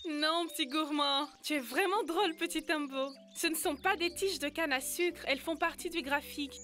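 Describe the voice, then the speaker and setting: Casting: woman as playful cartoon village girl, piercingly high, bright delivery. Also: a little slow, faint music behind. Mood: amused, coaxing. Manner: playful, giggly, teasing. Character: cheerful, helpful young village girl. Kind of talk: animated educational cartoon dialogue.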